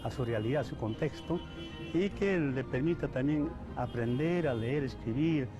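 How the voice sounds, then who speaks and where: A man who works in education explains his work in an interview, slowing deliberately through lists. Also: mid-range voice, over music. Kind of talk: interview answer.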